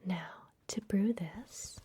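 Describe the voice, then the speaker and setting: A woman playing a secretive, soothing vampire librarian in a fantasy ASMR roleplay, speaking in a soft, breathy whisper close to the microphone. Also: medium pitch, heavily acted. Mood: soothing, instructive. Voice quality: breathy, mostly unvoiced whisper. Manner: soft, gentle, unhurried, confiding.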